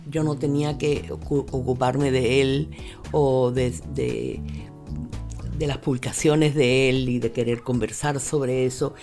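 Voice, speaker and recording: An older woman from Cuba, telling her life story in an interview, often restarting words and slipping in English phrases. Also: low voice. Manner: animated when retelling, many restarts, mixes in English phrases. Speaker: interviewee, university professor. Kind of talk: reflective personal storytelling.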